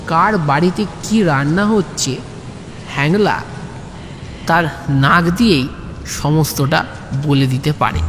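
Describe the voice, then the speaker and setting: Male storyteller, high voice, unhurried; comic, colloquial narration with playful asides. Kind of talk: animated first-person comic story narration. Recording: long hall reverb, background noise.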